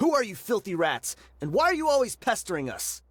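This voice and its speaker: A man's voice: high-pitched, wildly swooping pitch, brisk, background noise. An actor playing a hostile male mercenary leader who talks in a mocking, scornful way. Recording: game audio with music behind.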